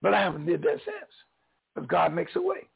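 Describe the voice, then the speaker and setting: Older Southern preacher, hoarse and gravelly, high lively voice, phone-line sound. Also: male voice, fairly quick. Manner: preacherly, swings between loud and soft. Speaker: pastor. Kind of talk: encouraging sermon.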